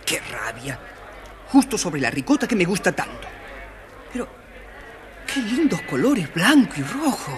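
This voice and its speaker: An actor playing a dreamy, polite and eager young prince in a children's audio tale. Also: male voice, high-pitched, big pitch swings, a little slow, pauses often. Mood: dreamy, distracted. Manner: polite, wide-eyed, theatrical.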